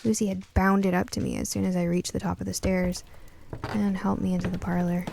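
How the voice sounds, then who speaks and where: A woman playing a curious, earnest young woman who narrates her own spooky radio drama in a measured, storytelling way. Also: lowish voice, flat delivery, vocal fry, background noise. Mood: measured, somber. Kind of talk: first-person scripted radio drama narration.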